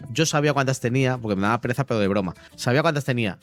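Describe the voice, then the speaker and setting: A man's voice: medium pitch, racing, soft background music. A man with a Castilian Spanish accent hosting an informal, chatty radio interview. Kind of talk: casual chat with guest and young helpers. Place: improvised festival radio studio.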